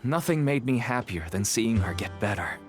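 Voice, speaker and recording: A man's voice: medium pitch, expressive, normal speed, soft background music, lightly acted. An actor playing a worried middle-aged merchant father who speaks in an earnest, weary, pleading way.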